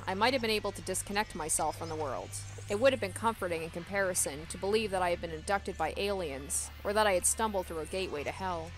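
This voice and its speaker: A woman with a middle-range voice hosts a let's play of a visual novel. She moves between reading the narration aloud and chatting casually about it, with soft music behind her. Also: medium pitch, low-quality recording.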